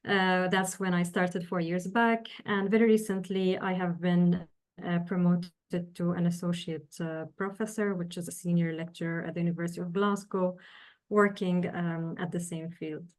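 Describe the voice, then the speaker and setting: Earnest woman, measured and pausing. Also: lowish voice, fairly even intonation. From Syria, raised in the UAE. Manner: measured and earnest, with many pauses as she explains. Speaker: guest, engineering professor. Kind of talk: podcast interview answers.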